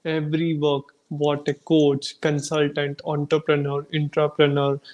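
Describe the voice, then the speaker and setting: A man's voice: a little high, monotone, a little slow, darkish tone, highs cut off. A man speaking as a guest on a video call, earnest and listing things off. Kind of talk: casual chat.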